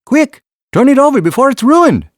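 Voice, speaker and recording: A man voicing excited, bossy young village boys squabbling in an audiobook story, urgent and insistent. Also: very high voice, normal speed, no room sound, clean studio sound, pitched above the performer's own voice.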